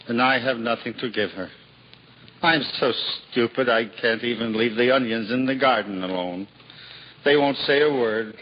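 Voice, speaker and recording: An actor playing a humble, simple-hearted juggler in a 1950s radio drama, earnest and self-deprecating. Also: male voice, slight background noise, close to an everyday voice. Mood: humble, tender. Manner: earnest, self-deprecating, lively swings in pitch. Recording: dull old radio broadcast with hall reverb and music.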